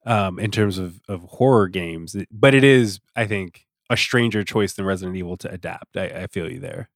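A man speaking casually as a podcast guest, haltingly circling back to rephrase as he goes. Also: sits low, creaky, dry recording, studio quality. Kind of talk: casual film and game discussion.

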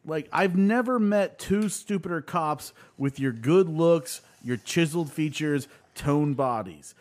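A man with a somewhat high voice hosts a casual podcast. He talks excitedly and retells scenes from a show to his co-hosts. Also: unhurried. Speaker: podcast host. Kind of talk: casual chat recapping a show.

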